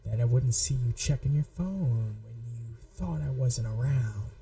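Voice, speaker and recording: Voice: mid-range voice, a little slow, warm tone, recorded from a distance. A performer voicing a possessive, manipulative young yandere in an ASMR roleplay, taunting and coldly controlled. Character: possessive, manipulative yandere childhood friend, menacing young blackmailer. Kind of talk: scripted ASMR roleplay audio drama.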